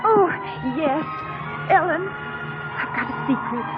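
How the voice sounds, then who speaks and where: A woman acting a willful, passionate young gentlewoman in a radio drama, breathless and melodramatic. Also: high voice, expressive, slow pace. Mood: excited, girlish. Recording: dull old radio broadcast, music behind.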